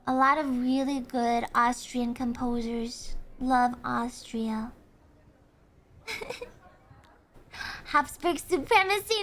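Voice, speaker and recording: A woman streamer chatting casually and thinking aloud, excitable, with frequent gasps and laughs. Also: high-pitched. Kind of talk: casual livestream chat.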